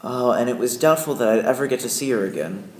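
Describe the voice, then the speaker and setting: A man's voice: mid-range voice, fast talker, a little mellow, a little hiss or hum, natural voice. A man playing a flustered, indignant Yankee wizard, narrating an old-time radio play with theatrical, emphatic delivery. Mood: wistful. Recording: echoey room, music behind.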